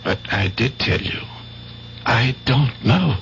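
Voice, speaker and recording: A man's voice: mid-range voice, lively intonation, slow pace, gravelly, fairly bright tone, heavily acted. A man playing an earnest, concerned doctor in a 1970s radio drama. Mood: troubled, recounting. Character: earnest, concerned male doctor recounting and pleading. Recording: muffled 1970s radio broadcast, faint hiss.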